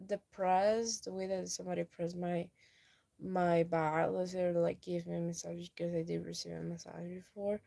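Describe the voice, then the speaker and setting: Young woman, low creaky voice for a rambling chat vlog. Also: dark tone. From Peru. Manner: meandering, lots of fillers. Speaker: YouTuber.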